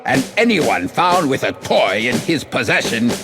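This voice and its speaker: An actor playing a pompous, grumpy tyrant mayor in an animated game, blustering and declaiming theatrically. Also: male voice, medium pitch, measured pace, creaky, over music. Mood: pompous fury.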